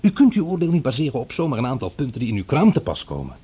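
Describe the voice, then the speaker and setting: Brisk, reasonable gentleman scientist. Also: mid-range voice, huge pitch swings, husky, heavily acted. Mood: admonishing. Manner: formal, reasoning. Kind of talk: radio drama acting, formal dialogue.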